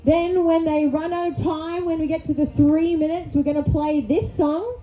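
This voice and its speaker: A woman's voice: high-pitched, fairly even intonation, moderate pace, over music, poor audio. A woman hosting a live comedy show from a club stage on a handheld mic, chatty and upbeat, often tagging lines with "okay?". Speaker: host. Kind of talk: upbeat live emcee patter.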